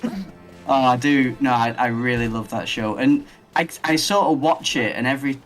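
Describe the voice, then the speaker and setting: A man hosting a casual podcast who rambles enthusiastically and thinks out loud as he talks. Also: medium pitch, normal speed, soft background music. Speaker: podcast host.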